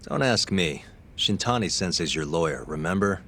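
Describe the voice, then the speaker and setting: Low-voiced, cool investigator. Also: male voice, lively intonation, moderate pace, a bit bright, slight background noise. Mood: casual. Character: cool, persistent male lawyer investigator pressing a suspect. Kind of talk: video game cutscene acting.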